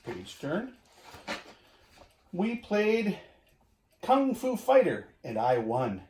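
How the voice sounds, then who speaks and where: A man reading a children's storybook aloud in an expressive way, with drawn-out emphasis, as if talking to young listeners. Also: high-pitched. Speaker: community education director reading to children. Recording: roomy echo.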